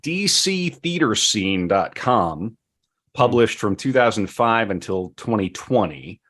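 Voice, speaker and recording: Male podcast host, lower register, wry and joking, conversational with stop-start pauses. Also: sing-song, a bit bright. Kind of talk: casual podcast talk.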